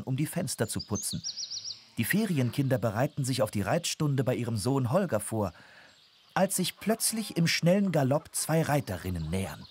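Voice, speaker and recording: A man narrating a children's audio drama from a script in an expressive storytelling manner. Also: medium pitch, slight background noise.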